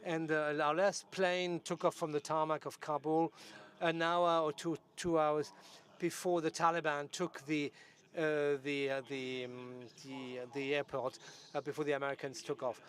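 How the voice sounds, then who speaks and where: A man with a slightly high voice interprets a debate live. He delivers the sentences piece by piece, in a halting way. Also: little pitch movement, a bit bright.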